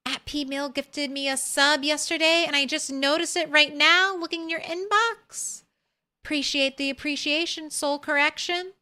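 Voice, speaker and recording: A woman streamer chatting softly and casually with her viewers while playing a game, thanking them as she goes. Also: sits high, normal speed. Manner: soft, relaxed, thanks viewers. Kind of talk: casual live-stream chat while gaming.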